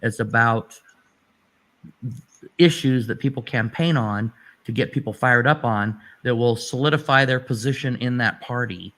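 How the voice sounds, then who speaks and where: A man's voice: mid-range voice, normal speed, a bit bright. A man speaking conversationally as a guest in a political discussion.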